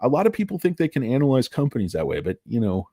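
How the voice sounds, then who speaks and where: A man's voice: mid-range voice, wildly swooping pitch, quick pace, dark tone, slightly rough, dry recording, studio quality. A man hosting a casual stock commentary, rambling, crude and sarcastic as he talks through charts and filings. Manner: rambling, crude and sarcastic, with mocking put-on voices. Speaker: stock market video host.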